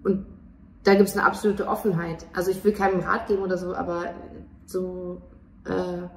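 A young woman speaking casually and spontaneously as an interview guest, halting as she searches for words. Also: lowish voice, little pitch movement, distant mic. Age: young adult. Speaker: stage performer and interview guest. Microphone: handheld interview microphone. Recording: echoey, slightly noisy, off-mic.

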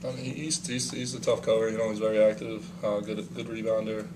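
A young man, a college basketball player, giving relaxed postgame answers and leaning on "you know". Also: fairly low pitch, fairly quick. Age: young adult. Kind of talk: postgame press conference answers. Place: small press room. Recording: mic at a distance, noisy room.

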